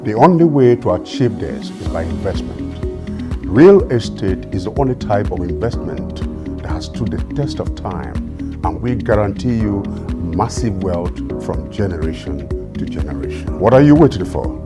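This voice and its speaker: A man's voice: on the low side, very animated, moderate pace. A man reading a scripted advert in a confident, persuasive way. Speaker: advert voice-over. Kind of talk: scripted ad read. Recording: voice-over over loud music.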